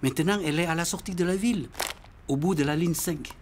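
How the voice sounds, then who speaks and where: A man's voice: a little high, fast, close to an everyday voice. A man voicing a friendly, chatty neighbourhood shopkeeper in a French cartoon. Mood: confiding. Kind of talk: French animated series acting.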